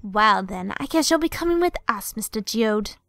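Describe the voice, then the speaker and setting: A woman playing a brisk, wry pony police sergeant in an audio drama. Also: medium pitch, animated, gravelly, a bit bright, no room sound, faint music behind. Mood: brisk, sarcastic.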